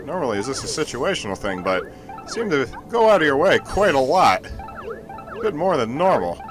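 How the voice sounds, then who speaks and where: A man's voice: a little high, sing-song, moderate pace, over music. A man doing casual, joking game commentary with a wordy, playful way of telling things. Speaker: let's play co-commentator.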